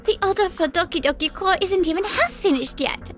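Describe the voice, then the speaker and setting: The earnest young assistant in an office romcom cartoon, piping up at a very high pitch as a woman acts her. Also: brisk, music in the background. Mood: urgent, worried. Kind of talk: scripted manga dub acting.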